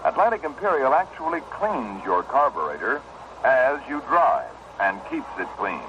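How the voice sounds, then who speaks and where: A man's voice: mid-range voice, big pitch swings, moderate pace. An American man reading a scripted 1960s radio advertisement in a persuasive, explanatory way. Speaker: commercial announcer. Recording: muffled, noisy vintage radio recording.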